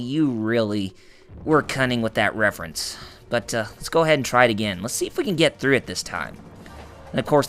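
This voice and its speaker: Hoarse, rambling gameplay host. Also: male voice, mid-range voice, fairly bright tone. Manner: rambling, full of fillers and restarts, jokey. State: hoarse, raspy voice. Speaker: let's play host. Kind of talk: gameplay commentary.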